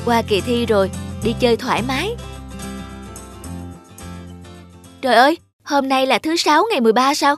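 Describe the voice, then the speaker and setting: A high-pitched, whiny student daughter from a cartoon, voiced by a woman. She is fussy, stubborn and dramatic, with music clearly behind her. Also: fast talker, close to an everyday voice. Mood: self-absorbed. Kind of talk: Vietnamese cartoon dialogue.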